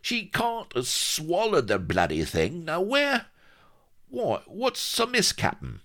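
A coarse pirate henchman in an audiobook. He grumbles and exclaims in blunt, common speech, pitched far up and leaping about excitably. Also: slow pace, heavily acted. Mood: flustered, whining.

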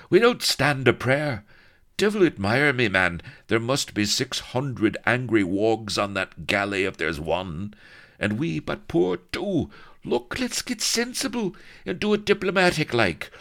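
A man's voice: mid-range voice, very animated, measured pace, creaky, a bit bright, pitched above the performer's own voice, heavily acted. A man playing an exasperated, swooping adventurer colonel. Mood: exasperated protest. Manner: colloquial, exasperated, protesting. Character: excitable, cynical adventurer colonel, grumbling comic sidekick.

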